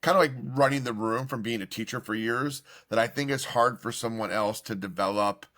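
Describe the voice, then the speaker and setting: Brief: middle-aged male podcast host, upbeat, casual, mid-pitched. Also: pushed and bright. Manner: upbeat, quick to react, swears casually. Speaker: podcast host and former teacher.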